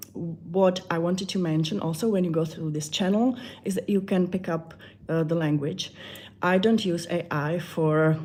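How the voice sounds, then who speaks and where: A woman with a Czech accent, a meditation channel creator chatting softly and hesitantly on a live stream, with many fillers. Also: fairly low pitch. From Czech Republic.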